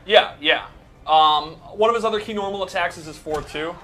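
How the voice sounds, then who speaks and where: Bright, higher-voiced man explaining gameplay. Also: a little fast, poor audio. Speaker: game commentator breaking down a character. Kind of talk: casual gameplay commentary and explanation.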